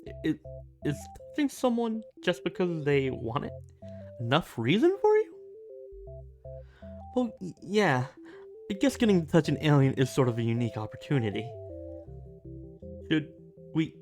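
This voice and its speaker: A woman playing a shy, snarky, touch-starved alien in an ASMR roleplay audio drama, with a halting, flustered delivery. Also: low-pitched, sing-song. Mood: flustered, awkward. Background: soft music.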